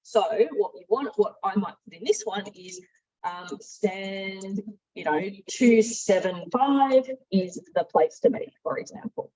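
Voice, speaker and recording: A woman trainer walking through software in an informal, instructive, conversational way, often thinking aloud. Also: medium pitch, a little slow. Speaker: software trainer, marketing and sales director. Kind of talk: informal software tutorial with screen demonstration. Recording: dull, muffled lo-fi audio.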